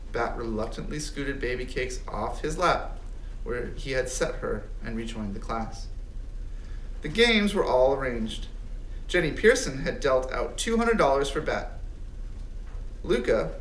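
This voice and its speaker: A man reading a children's storybook aloud with expressive, animated delivery. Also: mid-range voice. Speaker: audiobook reader. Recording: roomy, distant mic, faint background noise.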